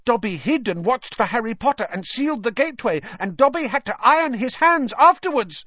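A narrator voicing a small, servile male house elf from a storybook, squeaky, anxious and deferential, pleading in the third person. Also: expressive, fairly quick, fairly bright tone, no room sound, lo-fi sound. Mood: eager, excited.

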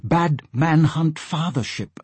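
A man voicing an earnest porpoise who speaks slow, halting broken English in a storybook reading. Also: fairly high pitch, husky, no echo, voice pushed up, exaggerated voice.

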